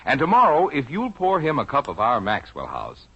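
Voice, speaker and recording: A man's voice: medium pitch, huge pitch swings, normal speed, a bit bright, husky. An American man reading a scripted, persuasive 1950s radio commercial as an announcer. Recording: muffled old radio recording.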